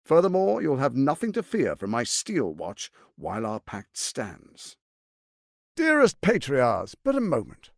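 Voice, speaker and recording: Suave, pompous villain lord with wildly swooping delivery. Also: male voice, medium pitch, wildly swooping pitch, a little slow, gravelly, no room sound, clean studio sound, heavily acted. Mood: grandiose welcome. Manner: pompous, silky, theatrical delivery. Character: suave, scheming, arrogant tyrant lord, adult man. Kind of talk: theatrical video game voice acting.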